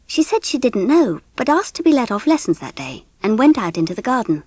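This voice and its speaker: A woman with an English accent giving an expressive, measured narration of a scripted storybook. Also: a little high, dry recording. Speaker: audiobook narrator.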